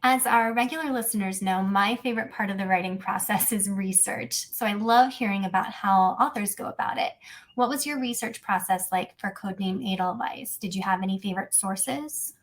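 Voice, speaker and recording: A woman hosts a podcast, asking her guest a series of prepared questions. She speaks in a measured, orderly way. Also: mid-range voice. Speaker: podcast host. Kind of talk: scripted interview questions.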